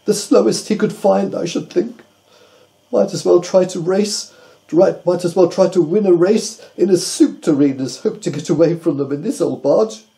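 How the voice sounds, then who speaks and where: A man's voice: high-pitched, gravelly, warm tone, voice pushed up, exaggerated voice. A man voicing a fussy, gloomy male pig in a storybook reading, in a raised, complaining voice. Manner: complaining, exclaiming.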